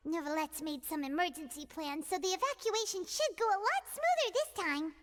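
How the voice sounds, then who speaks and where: An excitable little fairy companion for a video game. The voice is childlike and piercingly high, bouncing all over in pitch. It is chirpy and pushy, with noise clearly audible behind it. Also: female voice, normal speed. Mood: reassuring. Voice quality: squeaky, childlike. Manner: chirpy, refers to self in third person. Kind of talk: video game character acting.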